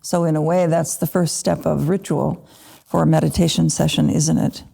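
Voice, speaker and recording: A woman teaching a guided meditation, speaking in short, unhurried phrases with gentle pauses. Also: low voice, fairly even intonation, vocal fry, breathy edge. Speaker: Buddhist meditation teacher (lama).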